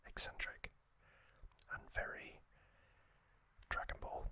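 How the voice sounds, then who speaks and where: Very slow, soft ASMR whisper. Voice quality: breathy unvoiced whisper. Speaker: ASMR host.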